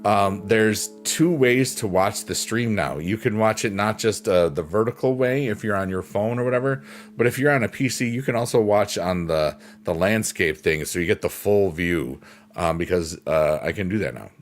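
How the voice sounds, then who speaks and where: A man with a deep voice hosting a casual livestream, chatting in a rambling, unhurried way with plenty of fillers and reading viewer comments aloud. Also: soft background music. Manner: rambling, unhurried, many fillers like "you know" and "um". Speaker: livestream host.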